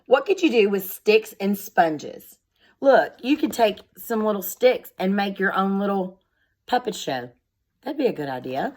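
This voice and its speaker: A woman's voice: medium pitch, sing-song, measured pace, a little mellow. A woman with a mid-range voice reads aloud in the manner of a schoolteacher. She speaks directly to young children, explaining each idea in simple, instructive language.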